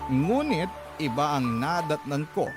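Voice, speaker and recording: A man's voice: a little high, very animated, unhurried. A Filipino man reading a scripted first-person story as narrator of a radio drama. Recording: music bed under narration.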